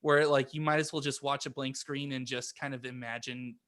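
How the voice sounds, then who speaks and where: A man's voice: mid-range voice, level tone, fairly quick, fairly bright tone. A man co-hosting a podcast in casual chat, rambling and often interrupting his own sentences. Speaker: podcast co-host.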